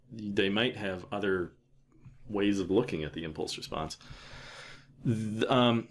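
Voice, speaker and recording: A man narrating an informal software tutorial, thinking aloud in a casual way with trailing pauses. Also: fairly low pitch. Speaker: software developer presenting a tutorial.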